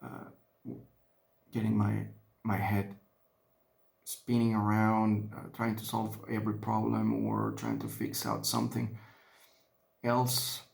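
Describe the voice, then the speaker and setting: Spanish-accented man, lowish gravelly voice, unhurried and halting, casual hobby vlogger at his desk. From Mexico. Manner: halting, thinking aloud with many restarts and fillers. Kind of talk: casual talk while painting miniatures.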